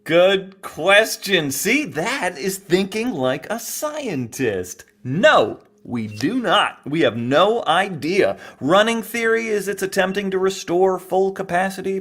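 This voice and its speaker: Pedantic male scientist, fairly high voice, teasing professor type for radio drama. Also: animated, a little slow, few pauses, a bit bright, exaggerated voice. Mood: professorial, enthusiastic. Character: playful, pedantic male xenobiologist quizzing like a teacher. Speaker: character in audio drama. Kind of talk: audio drama dialogue.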